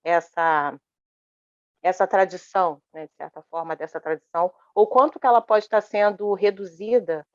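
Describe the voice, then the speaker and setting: A woman's voice: on the low side, steady tone, moderate pace, no echo. A woman in the audience asking a long, hesitant question at a panel, with many restarts. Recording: dull lo-fi sound.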